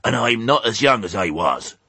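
A man voicing a mournful, grumbling old ewe with a husky voice and a West Country accent, in a storybook. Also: lowish voice, expressive, normal speed, sharp tone, no room sound, pitched above the performer's own voice, exaggerated voice. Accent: English West Country.

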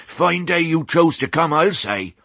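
A man's voice: on the high side, animated, a little slow, bright, forceful tone, no echo, voice pushed up, exaggerated voice. A man voicing a plain-spoken old female sheep with a folksy West Country English dialect. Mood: cheerful, puffed. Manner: rustic dialect, gruffly folksy.

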